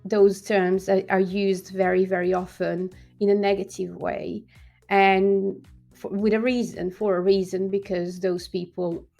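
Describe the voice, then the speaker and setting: A woman from Serbia hosting her own channel, giving casual commentary with hesitant pauses, fillers and restarts. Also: mid-range voice, flat delivery, creaky, mellow, soft background music. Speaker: host. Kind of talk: casual talking-head commentary.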